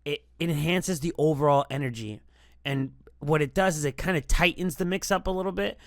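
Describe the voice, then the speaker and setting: A man's voice: on the high side, brisk, dry recording, clean studio sound. A man hosting a music production tutorial, explaining things in an informal, rambling, conversational way. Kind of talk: casual tutorial talk.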